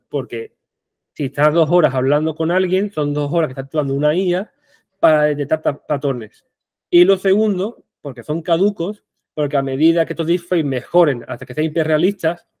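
A man's voice: on the high side, dry recording, clean studio sound. Young Andalusian man giving a tech talk. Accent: Andalusian Spanish. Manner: animated, rhetorical questions, frequent restarts. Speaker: conference speaker. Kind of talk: online tech conference talk. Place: small indoor room.

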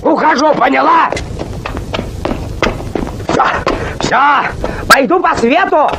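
An actor playing a grumpy, blustering peasant husband in an animated folk tale, drawling and exclaiming as he grumbles. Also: very high-pitched, slow, creaky, noisy background, exaggerated voice. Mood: angry, blustering. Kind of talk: animated cartoon acting. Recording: old cartoon soundtrack with loud music.

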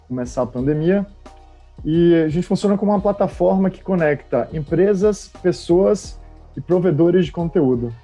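A man in his thirties with a Bahian accent and a very dark, mellow voice talks about his career as a podcast guest. He speaks in a relaxed, friendly way. Faint music plays behind him. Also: a little high, slightly rough. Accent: Bahian Portuguese. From Bahia, Brazil. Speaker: startup co-founder guest. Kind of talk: casual interview talk.

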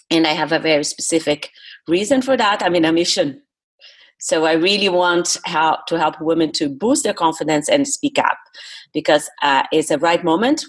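Husky low-voiced Brazilian woman. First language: Portuguese. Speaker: guest, public speaking coach. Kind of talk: interview talk.

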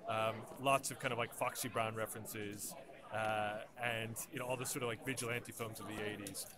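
A man's voice: lowish voice, fairly even intonation, normal speed. A man, a comic artist, talking casually about his new comic at a convention, hesitant and searching for words. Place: convention floor. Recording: noisy convention crowd behind.

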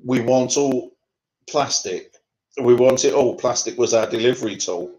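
Middle-aged English poet, rhythmic rhyming delivery, home video call. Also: male voice, medium pitch, measured pace, darkish tone, poor audio. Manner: rhythmic rhyming recitation, then conversational with fillers. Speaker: poet guest. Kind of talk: poetry reading then interview answers. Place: home room.

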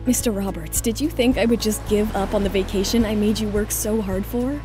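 A woman narrator voicing a calm, loyal young model and wife in an audio drama, composed and gentle. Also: medium pitch, a little fast, over music, pitched below the performer's own voice, lightly acted. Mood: affectionate.

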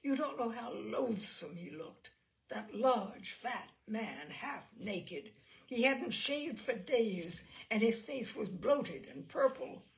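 A woman's voice: on the high side, animated, slow pace, gravelly, heavily acted. A woman performing a tormented, bitter wife making a confession in a dramatic, intense storytelling manner. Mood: furious. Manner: dramatic, intense, hushed then rising to cries.

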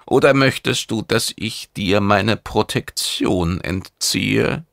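A man's voice: fairly low pitch, slow pace, creaky, a bit bright, no echo, clean studio sound, exaggerated voice. A man voicing a stern, demanding oracle mentor who lectures imperiously in an audiobook. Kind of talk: audiobook character acting.

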